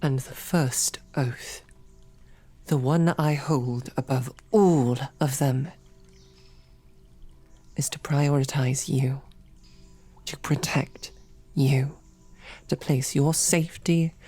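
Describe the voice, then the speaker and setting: A woman voicing a devoted, protective female royal knight in a whispered audio roleplay, with soft, earnest questioning. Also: extremely low pitch, steady tone, slow pace, long pauses, faint music behind, heavily acted. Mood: earnest, pleading.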